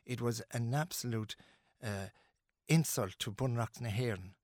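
A man's voice: mid-range voice, moderate pace, a little mellow, no room sound, clean studio sound. A middle-aged Irish man, a musician being interviewed, talking earnestly and emphatically with many restarts and fillers. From Ireland.

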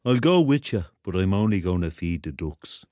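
A kindly, cryptic rock legend back from the dead. His voice sits a little low and moves with lively inflection, laconic and gently mocking in a radio play. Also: moderate pace, no echo, studio quality. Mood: dry, teasing. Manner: laconic, easygoing, dryly teasing. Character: laid-back, kindly, cryptic ghost of an Irish rock star. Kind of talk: radio drama dialogue.

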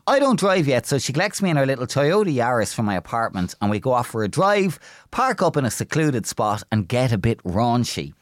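Irish man, podcast co-host, animated pitch, dry close sound, jokey. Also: a little fast, dry recording. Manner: playful, jokey, reads listener emails aloud. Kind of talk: casual comedic chat.

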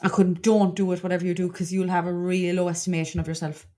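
A woman's voice: lowish voice, level tone, quick pace, dark tone. An Irish woman with a Cork accent co-hosting a podcast in a casual, gossipy, confiding way. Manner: chatty, colloquial, confiding. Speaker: podcast co-host.

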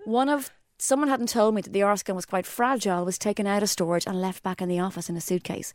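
An Irish woman in her forties tells a story on a podcast, and her voice leaps up whenever she acts out what someone said. She sits at a middle pitch and sounds dry and close to the mic. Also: quick pace, no room sound. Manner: animated storyteller, jumps into high quoted voices. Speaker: podcast co-host. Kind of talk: casual chat.

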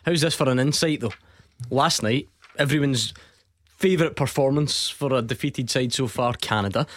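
A man's voice: medium pitch, moderate pace, dry recording. A Scottish man hosting a football phone-in radio show, teasing his co-hosts and laughing often. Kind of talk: casual studio banter.

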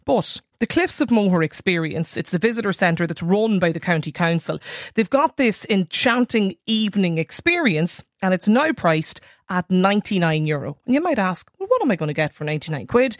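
A woman's voice: fairly low pitch, very animated, normal speed, no room sound. Irish lady radio host, wry chatty phone-in, dry studio sound. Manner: wry, conversational, leading callers with questions. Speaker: radio talk show host.

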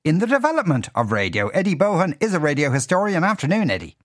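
A man's voice: a little high, huge pitch swings, fast, no echo, clean studio sound. An Irish man hosting a live radio interview in a curious, conversational way. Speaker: radio host.

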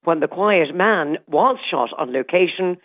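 A woman calling in for a castle hotel, telling its film history with animated excitement. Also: lowish voice, measured pace. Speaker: caller, hotel representative. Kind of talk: phone interview. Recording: tinny phone line.

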